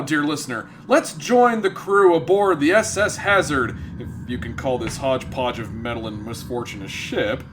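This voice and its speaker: A man's voice: on the high side, fairly bright tone, a little hiss or hum. A man narrating a comedy script in a dramatic, wry, theatrical way.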